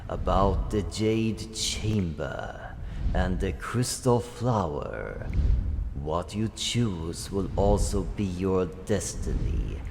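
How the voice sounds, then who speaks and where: A man voicing an ancient dragon guardian, solemn, calm and kindly, speaking slowly in formal, measured phrases in a roleplay addressed to the listener. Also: full character voice. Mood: solemn, resolute. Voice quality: deep, gravelly. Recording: long cavernous reverb, faint background noise.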